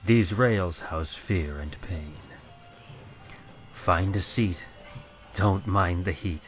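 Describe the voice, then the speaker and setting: A man playing an ominous conductor of a hellish train, narrating old-time radio horror in a grave, theatrical way. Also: low-pitched. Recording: muffled old-radio sound, loud music behind.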